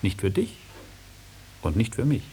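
A hissy old radio recording carries an ancient dragon, sly and melancholy. He declaims slowly, lurching dramatically between hushed tones and loud proclamations, mocking and all-knowing in radio-play fashion. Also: fairly low pitch, big pitch swings, low-quality recording. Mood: sad, stern. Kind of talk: 1950s German radio play acting.

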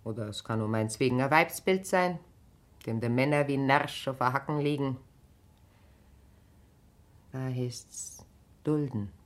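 Slow, low-voiced, wronged country wife. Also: female voice. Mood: resigned. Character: weary, bitterly wronged middle-aged country wife, resigned yet proud. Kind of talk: naturalistic German radio drama acting.

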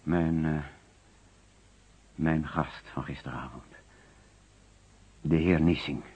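An actor playing a nervous, evasive suspect under police questioning in a radio play, hesitant, defensive and repeating himself. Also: male voice, a bass voice, steady tone, takes it slowly, darkish tone, slightly rough, full character voice. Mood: reluctant. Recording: dull, fuzzy old radio recording.

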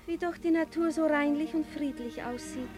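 An actress playing a gracious young princess in a literary radio play, refined and used to giving orders. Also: female voice, sits high, moderate pace, darkish tone, faint music behind, close to an everyday voice. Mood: serene, reflective. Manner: refined, formal address.

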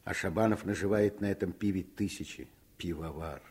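Husky, deep, principled doctor father. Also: a little fast, darkish tone. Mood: scornful indignation. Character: principled doctor father, fond of daughter, indignant at injustice. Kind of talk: 1950s Soviet radio play acting.

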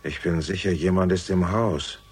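An actor playing a composed, menacing older father in a German radio crime drama, speaking formally and deliberately with a gravelly, husky voice. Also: deep voice. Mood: guarded, controlled.

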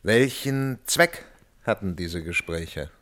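Terse examining counsel, formal, inquiring, fairly low pitch, courtroom radio drama. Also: male voice, animated, takes it slowly, fairly bright tone, exaggerated voice. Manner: brief, formal questioning. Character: terse, inquiring male counsel questioning a witness. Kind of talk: radio play courtroom acting.

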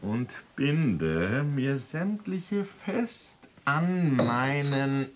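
An obsessed, wildly swinging dreamer-inventor. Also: male voice, medium pitch, sing-song, drawn-out words, noisy background. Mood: insistent, intense. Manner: theatrical, shifting between muttering and exclaiming. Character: obsessed eccentric male dreamer-inventor, dreamy, impatient with mankind. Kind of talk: German radio drama acting.

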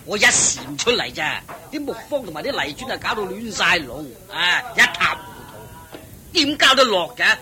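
An actor playing a fussy, boastful, wealthy old head of a household in a radio drama, with a Hong Kong Cantonese accent, sighing and chuckling. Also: male voice, sing-song, moderate pace, music in the background. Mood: agitated, boastful. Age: older. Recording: noisy old radio drama, low rumble.

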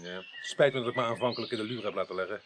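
A man's voice: fairly low pitch, very fast, a little hiss or hum, lightly acted. A man playing a middle-aged, plain-spoken police inspector in a radio drama, dry and understated. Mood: businesslike. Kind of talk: 1980s Dutch radio drama acting.

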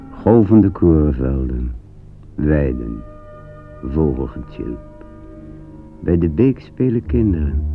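Very deep, slow, solemn narrator. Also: male voice, heavily acted. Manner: grave, measured, pensive. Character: grave male narrator recalling a war front. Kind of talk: solemn radio play narration. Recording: tinny old radio play recording, music behind.

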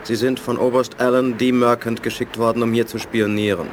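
A man plays a stern mind reader in an eighties radio drama. He accuses in plain statements, at a medium pitch, with clear background noise. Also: little pitch movement, brisk, natural voice. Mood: accusing. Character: stern telepath exposing lies, matter-of-fact accuser. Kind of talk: 1980s German radio play acting.

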